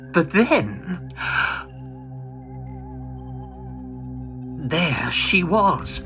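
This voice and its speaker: Bitter, swooping mad little scientist. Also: male voice, high voice, exaggerated voice. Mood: awed, smitten. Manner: agitated, pleading, melodramatic, halting. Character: small, bitter, frantic mad scientist, male professor. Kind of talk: dramatic vintage comic audio drama.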